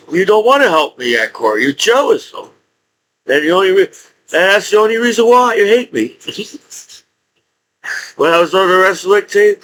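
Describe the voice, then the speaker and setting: A man in his forties speaking in a rambling, halting monologue with frequent restarts and laughs. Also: high voice, a bit bright, slight background noise, rough recording. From United States. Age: 40s. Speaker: YouTuber.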